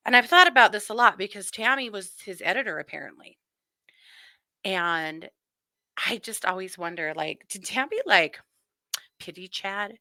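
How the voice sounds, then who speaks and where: A woman's voice: mid-range voice, expressive, moderate pace, long pauses, pushed and bright, no echo. A woman hosting a show with casual, wry and mocking true crime commentary. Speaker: host.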